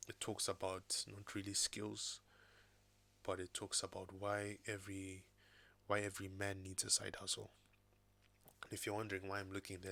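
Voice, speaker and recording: Male vlogger, raspy post-illness voice, bright and forceful edge, casual off-the-cuff delivery. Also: deep voice. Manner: loose, thinking-aloud delivery. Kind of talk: casual talking-head vlog. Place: home desk with laptop and second screen.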